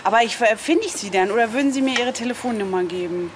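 A woman's voice: on the high side, quick pace, a crowd in the background. A young woman in casual unscripted conversation, speaking in a polite, tentative, questioning way as an interviewer. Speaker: interviewer and reporter.

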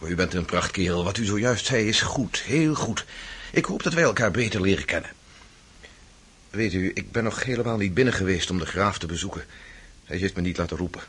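An actor in a radio drama playing an awkward, warm-hearted young gentleman, earnest and hesitant, with a husky voice. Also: low voice, lively intonation, fairly quick, full character voice. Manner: hesitant, repeating himself.

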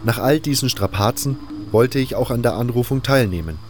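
A man's voice: medium pitch, a little fast, over music. A man reading scripted first-person narration for a fantasy audio drama.

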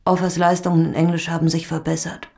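A low, dark-voiced mother in a radio play, worn and unsure. She answers haltingly, with a gravelly fry, at times sinking into a hush. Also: monotone. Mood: subdued, uncertain. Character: subdued, hesitant mother of a missing teenage boy. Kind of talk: radio drama acting.

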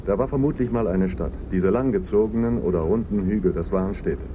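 A seasoned male expedition leader played by an actor, speaking low over clear vehicle noise. He is dry, wry and rule-minded, handing out casual orders in radio-drama style. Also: brisk, lo-fi sound, lightly acted. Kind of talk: German radio drama acting.

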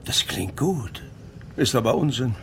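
An opinionated crime novelist in a radio play. He lectures pompously in a mid-range voice, with a faint rumble of noise behind him. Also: animated, unhurried. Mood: lecturing, disdainful. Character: opinionated, self-assured male crime novelist.

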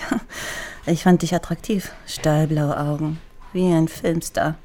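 A woman's voice: sits low, steady tone, slow, warm tone. A woman playing a teasing, sardonic East German police lieutenant in a radio crime drama, speaking bluntly and with mocking familiarity.

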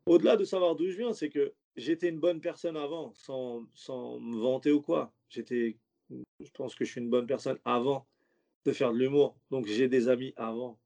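A man's voice: medium pitch, steady tone, normal speed, vocal fry, mellow. A man who is a stand-up comedian, talking loosely in a casual interview, slangy and rambling with many restarts. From French suburbs.

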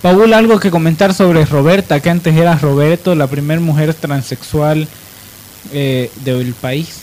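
A man's voice: on the high side, a little slow, darkish tone, slight background noise. A man with a Bolivian accent explaining things informally as a panelist on a radio talk show.

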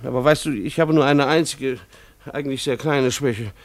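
An actor playing a harried, middle-aged schoolteacher husband in a German radio play, with dry, formal, hesitant phrasing. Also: male voice, medium pitch, normal speed, dry recording, close to an everyday voice. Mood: weary, uneasy. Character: harried, wry husband, a schoolteacher and family father.

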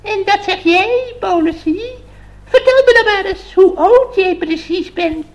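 A man's voice: very high-pitched, measured pace. A man playing an impudent, ancient female witch who mocks and cackles brashly in a radio play. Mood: mocking, brash.

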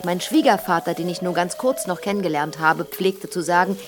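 An actress playing a composed, matter-of-fact widow of an old manor in a German radio drama, speaking in a measured, guiding way. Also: female voice, on the low side, over music, close to an everyday voice. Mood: sober, matter-of-fact. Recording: echoey reverberant vault.

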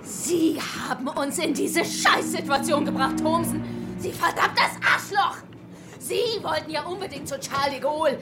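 Angry bitter survivor lady, high voice, biting and sarcastic, music behind her. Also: animated, normal speed. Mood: furious. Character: bitter, hostile woman survivor with explosive temper. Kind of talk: radio horror drama acting.

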